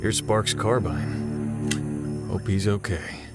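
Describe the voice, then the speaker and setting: An actor playing a wisecracking American sergeant, blunt and incredulous, in a pulp adventure audio drama. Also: male voice, lowish voice, very slow. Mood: uneasy. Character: gruff, wisecracking American army sergeant, adult man. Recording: loud music and effects behind.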